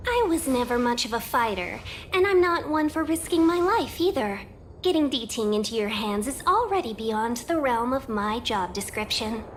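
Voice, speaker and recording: A woman's voice: fairly high pitch, expressive, moderate pace, faint music behind, lightly acted. A woman voicing a genteel, playfully cautious young fox-woman merchant guide in a video game, speaking in a polished, teasing way.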